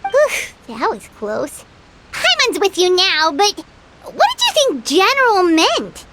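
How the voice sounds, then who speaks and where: A woman voicing a small, excitable, cheeky fairy girl companion in a video game, with a squeaky childlike voice. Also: slow, heavy background noise, full character voice. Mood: exasperated. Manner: refers to self in third person, exclamatory. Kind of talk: video game character acting. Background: music.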